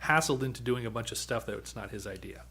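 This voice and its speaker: A man hosting a podcast and recapping a TV episode in rambling, halting talk with fillers and chuckles. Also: medium pitch, bright, forceful tone, breathy edge. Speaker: podcast host. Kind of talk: casual chat recapping a TV episode.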